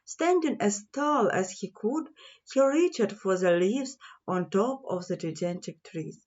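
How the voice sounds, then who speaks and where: A woman reading a fairy tale to children in a measured way, drawing out the important words. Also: medium pitch, muffled recording. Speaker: children's audiobook reader.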